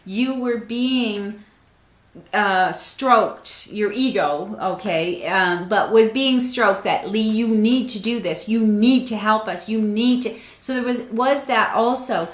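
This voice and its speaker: A woman's voice: medium pitch, slow pace. A woman hosting an interview, talking in a casual, affirming way with frequent restarts. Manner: warm affirming, many restarts and fillers. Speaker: host. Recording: roomy echo.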